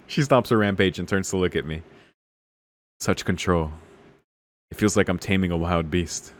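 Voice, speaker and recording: A man's voice: low-pitched, measured pace. A man reading a visual novel aloud in a casual, slangy, amused way, swearing and reacting off the cuff. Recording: music behind the voice.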